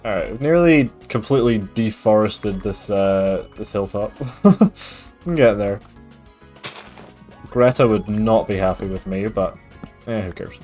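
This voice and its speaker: Scottish guy streaming, mild accent, lowish voice, chatty and laid back, faint music behind. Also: unhurried, pauses often. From Scotland. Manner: relaxed, chatty, reads and answers chat with frequent fillers. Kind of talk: casual gaming stream chat.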